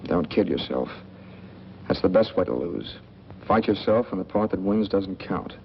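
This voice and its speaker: An actor playing a cold, guarded drifter in a 1940s film noir, speaking in clipped deadpan lines with a gravelly voice. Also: male voice, low voice, normal speed. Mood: cold, sardonic. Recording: muffled, noisy old film soundtrack.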